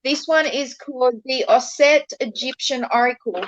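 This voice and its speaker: An Australian woman chatting on a live stream, often pausing and filling while she hunts for words. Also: high-pitched, no room sound, low-quality recording. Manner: chatty, searches for words with fillers. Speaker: card reader, stream guest. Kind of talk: casual chat on a live stream.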